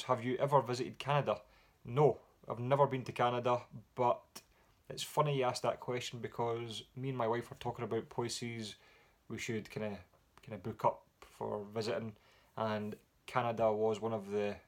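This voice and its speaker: A man with a Scottish accent answering viewer questions in a relaxed, rambling way with frequent pauses and fillers. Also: mid-range voice. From Scotland. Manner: relaxed, rambling with many ums. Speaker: music vlogger. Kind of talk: casual Q&A vlog.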